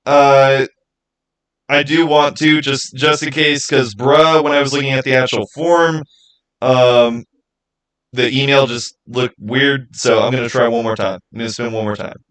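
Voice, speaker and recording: A man's voice: mid-range voice, a bit bright, low-quality recording. Casual man hosting a livestream. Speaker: livestream host. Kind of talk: casual livestream chat with viewers.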